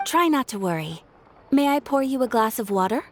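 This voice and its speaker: A woman's voice: a little high, big pitch swings, fairly quick, a little hiss or hum. A woman playing a dutiful guild receptionist in an English video game dub, formal, composed and helpful. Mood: courteous, helpful.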